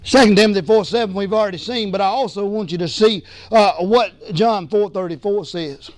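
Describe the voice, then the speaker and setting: A man preaching a sermon as pastor, hoarse from losing his voice, emphatic and calling for responses. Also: high-pitched, a little fast, no echo. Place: church sanctuary.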